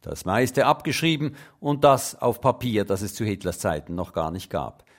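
An older man narrates a radio feature, looking back on past events. His voice sits fairly low, and he tells the story in a calm, measured, reflective way. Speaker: radio correspondent.

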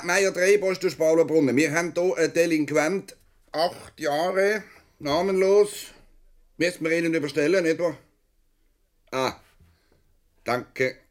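A fussy Swiss policeman in a radio play, speaking with a Swiss German accent. His pitch leaps about as he swings between officious announcements and grumbling. He is easily exasperated and quick to curse. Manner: officious on the telephone, muttering and cursing otherwise.

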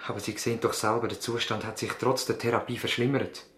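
Radio drama: worried country physician, Swiss accent, medium-pitched slightly rough voice, formal and earnest. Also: male voice, steady tone, fast, fairly bright tone. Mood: grave, firm. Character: earnest, worried young male country doctor. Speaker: actor playing a doctor. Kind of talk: German-language radio drama acting. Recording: slightly veiled 1970s radio drama sound.

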